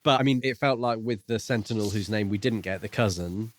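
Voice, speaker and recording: A man co-hosting a casual book discussion, speaking in a measured, reflective way. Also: fairly low pitch. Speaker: podcast co-host.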